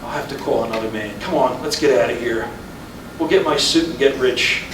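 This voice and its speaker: A man in a staged play reading, playing a weary, regretful, stubborn husband of fifty-five who speaks haltingly. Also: medium pitch, lightly acted. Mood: resigned. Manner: halting, repeating words, brooding. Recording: echoey room from far off, noisy.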